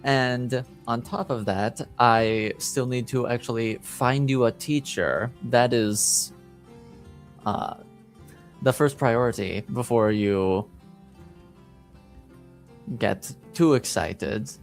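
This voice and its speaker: A man's voice: mid-range voice, takes it slowly, creaky, long pauses, soft background music. A man voicing a kindly, responsible uncle and father in a tabletop roleplaying game. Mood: approving, reassuring.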